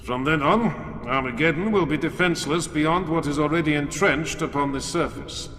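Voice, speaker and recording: A man voicing a sober, dutiful military commander giving formal, deliberate briefings in a dramatic war story. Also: fairly high pitch, fairly bright tone, slight background noise, natural voice. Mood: sober, resigned. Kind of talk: dramatic audiobook character acting. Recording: long hall reverb, music underneath.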